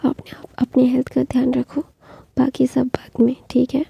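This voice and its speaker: A woman voicing a tender, caring young man, in a soft whisper. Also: high voice, completely flat delivery, moderate pace, creaky, slightly rough, no room sound, lo-fi sound, full character voice.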